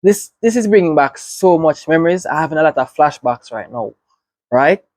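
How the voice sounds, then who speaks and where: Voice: mid-range voice, expressive, normal speed, a little mellow, no echo. Earnest Jamaican reactor, dry close sound. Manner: earnest, reflective, leans on "right" and "wow". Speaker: reaction video host. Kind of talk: casual reaction commentary.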